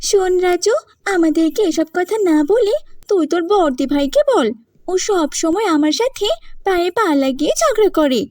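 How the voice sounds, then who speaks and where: Woman voicing a defiant sister, very high-pitched. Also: expressive, moderate pace, no room sound. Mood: accusing. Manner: sharp, argumentative. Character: defiant, quarrelsome younger sister, a boastful engineer. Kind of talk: cartoon voice acting.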